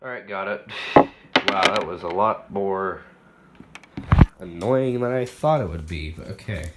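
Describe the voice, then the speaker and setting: A man with a deep voice talks through a casual, unscripted demonstration. He rambles and thinks aloud as he handles his gear. The room is echoey, and loud noise runs behind his voice. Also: a little slow. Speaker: hobbyist showing a weather radio collection. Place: indoor room, recorded while handling radios.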